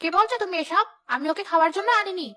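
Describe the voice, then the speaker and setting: Woman voicing a very high, quick motherly ghost. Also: pushed and bright, faint music behind. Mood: defiant, annoyed. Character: young female village ghost, gruff but motherly, protective. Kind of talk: Bengali cartoon voice acting.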